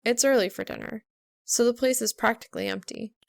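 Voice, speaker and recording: A woman reading fiction aloud as an expressive audiobook narrator. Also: fairly high pitch, brisk, gravelly, no echo, clean studio sound. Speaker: fan fiction audiobook reader. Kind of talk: expressive scripted story narration.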